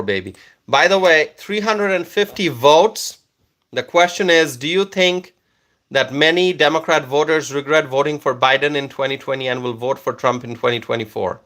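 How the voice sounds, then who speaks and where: A man's voice: fairly high pitch, a bit bright. A man whose first language is Bengali, casually chatting on a livestream and reading out viewer comments, with frequent fillers and repeated words.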